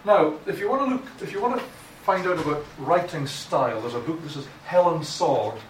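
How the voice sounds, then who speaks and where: A man's voice: fairly high pitch, expressive, fairly quick. A Scottish man giving a lecture on academic writing, blunt and informal, often restarting his sentences. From Scotland. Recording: echoey room from far off, noisy.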